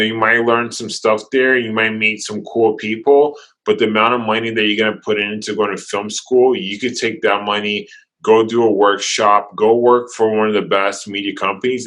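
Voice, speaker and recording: A man with a bright, forceful, fairly low voice, English his second language. He talks energetically in quick runs, restarting often, in a casual interview. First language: not English. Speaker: guest videographer.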